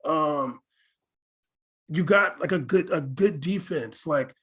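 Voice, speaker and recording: A man co-hosting a casual sports podcast, pressing his point with quick restarts and slang. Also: fairly high pitch, a bit bright. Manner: stammering restarts, pushy questions, slang. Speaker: podcast co-host.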